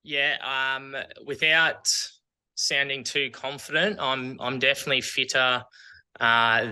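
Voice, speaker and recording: A man's voice: medium pitch, bright, forceful tone, smooth. A man with an Australian accent, chatting casually as an athlete and coach being interviewed.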